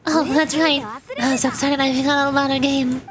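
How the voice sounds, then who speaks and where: A woman voicing an excitable, innocent, wide-eyed little boy in a video game. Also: sits high, quick pace, natural voice. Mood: excited. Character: excitable, innocent little boy who adores his brother. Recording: music behind the voice.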